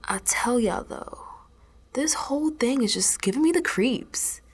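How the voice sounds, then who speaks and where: An actress playing a frightened, defiant young woman who is unraveling, in a colloquial, halting radio drama monologue. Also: fairly high pitch, lively intonation, breathy edge, in a whisper. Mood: troubled, wary. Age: young adult. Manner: colloquial, halting, slow, drifting into hushed tones.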